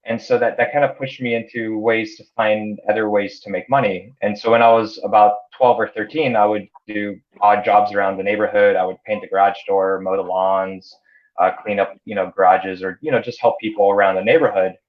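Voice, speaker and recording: A man's voice: on the low side, little pitch movement, a little fast. A man in his thirties, a Hungarian native speaker raised in the United States, talks about his life in a casual interview, stumbling over repeated words and fillers. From born in Canada, grew up in the United States. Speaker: interview guest, entrepreneur. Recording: dull lo-fi audio.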